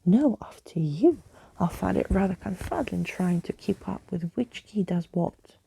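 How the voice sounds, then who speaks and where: A woman's voice: medium pitch, lively intonation, unhurried, vocal fry, dark tone, a little hiss or hum, poor audio. A woman voicing a pompous, theatrical male skeleton with flowery, old-fashioned speech in a storybook reading. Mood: wry.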